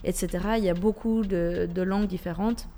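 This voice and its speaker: A woman's voice: medium pitch, fairly even intonation, a little fast, mellow, a little hiss or hum. A woman from Switzerland with a Swiss French accent, a radio guest who talks with many hesitations and restarts. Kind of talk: radio interview.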